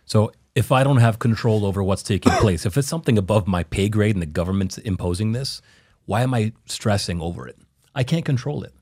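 A man's voice: lowish voice, expressive, fairly quick, a little mellow, slightly rough, dry recording, clean studio sound. A man from Canada who works as a real estate agent, making emphatic, motivational points in a casual panel debate.

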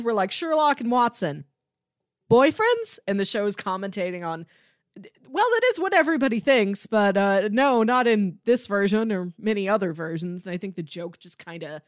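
A woman podcast co-host in a casual, enthusiastic chat about a TV series, quick to laugh. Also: mid-range voice, dry recording, clean studio sound.

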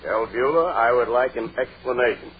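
A man's voice: mid-range voice, lively intonation, moderate pace, close to an everyday voice. An actor playing a flustered, bumbling middle-aged family man in an old radio comedy, with a stammering, hesitant delivery. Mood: baffled, protesting. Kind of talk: 1940s-50s radio sitcom acting. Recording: dull, hissy vintage radio recording.

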